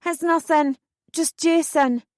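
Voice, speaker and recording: A woman's voice: high-pitched, steady tone, slow, no echo, studio quality, voice pushed up. A woman voicing a distraught teenage girl in an audiobook, urgent and pleading with a raised voice. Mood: panicked. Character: distraught teenage sister, panicked and desperate.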